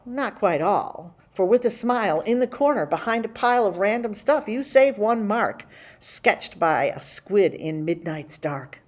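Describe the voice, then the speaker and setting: A woman's voice: mid-range voice, darkish tone. Woman reading a children's story expressively. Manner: theatrical, rhythmic rhyming delivery with dramatic slowing. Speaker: library story time host and reader. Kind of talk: expressive picture book read-aloud for children.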